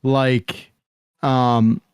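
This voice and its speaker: A man's voice: medium pitch, level tone, very slow, clear, resonant tone, dry recording, studio quality. A man co-hosting a podcast with casual comic banter. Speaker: podcast co-host.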